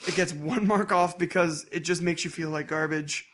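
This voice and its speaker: A young man from Canada talks into a desk mic with a voice a little higher than most men's. He keeps things light, tossing in jokes and quick asides. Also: fairly even intonation, fairly bright tone. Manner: joking, easygoing, quick asides. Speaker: podcast co-host. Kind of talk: casual podcast chat.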